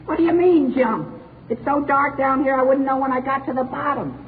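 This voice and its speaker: A youthful, whiny American teenage boy, gullible and easily exasperated. His voice sits high, in vintage radio comedy style, over a tinny line with faint noise. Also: normal speed. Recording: tinny, faintly noisy old radio recording.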